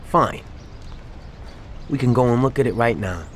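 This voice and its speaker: Male actor as a restless young mobster in a game cutscene; swinging, animated delivery, street noise behind. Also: medium pitch, unhurried, lightly acted. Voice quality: streetwise slang, jumpy outbursts. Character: excitable young male gangster, tempted by loot.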